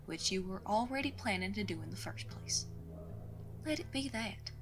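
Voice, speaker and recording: A woman's voice: mid-range voice, close to an everyday voice. A woman acting in an audio drama as a tough, tender outlaw mother, folksy, teasing and reassuring. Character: protective outlaw mother, wry, tough, tender with grown son.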